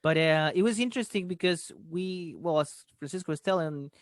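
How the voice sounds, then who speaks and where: Hesitant man, slightly high voice. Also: dry recording, studio quality. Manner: hesitant, with "uh" and "um" pauses. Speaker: comedian guest. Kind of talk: casual podcast chat.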